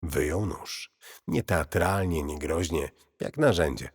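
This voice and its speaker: A man narrating a scripted first-person horror story in a grave, deliberate, brooding manner. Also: extremely low pitch, lively intonation, breathy edge. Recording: cavernous long reverb.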